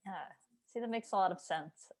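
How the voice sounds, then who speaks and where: A woman's voice: medium pitch, a little fast. A woman hosting an author interview, introducing guests and putting questions to them. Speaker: host, editor and YouTuber.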